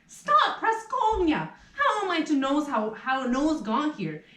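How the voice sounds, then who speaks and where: A woman's voice: sits high, wildly swooping pitch, unhurried, fairly bright tone, far from the mic, voice pushed up, heavily acted. A woman voicing a meek, flustered male barber for a puppet play, hesitant and apologetic, in a roomy rehearsal recording. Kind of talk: puppet theatre acting.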